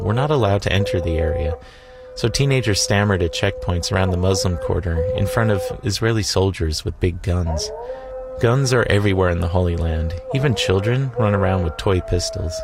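Guy with a lowish voice narrating a travel doc, dry and wry, music underneath. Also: fairly quick. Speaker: documentary narrator. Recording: voice-over with music behind.

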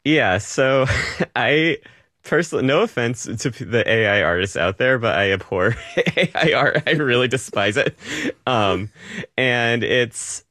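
A man's voice: mid-range voice, lively intonation, a little slow, bright, forceful tone, no room sound, clean studio sound. A man from Rhode Island, an illustrator interviewed on radio, speaking in an easygoing, enthusiastic way with little laughs. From Rhode Island, New England.

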